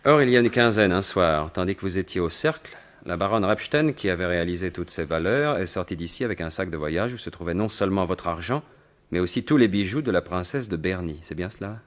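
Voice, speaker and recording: An actor playing a confident, wry gentleman thief turned investigator in a French radio mystery in 1950s style, speaking in assured, formal, articulate phrases. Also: deep voice, lively intonation, fairly quick. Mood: probing, grave.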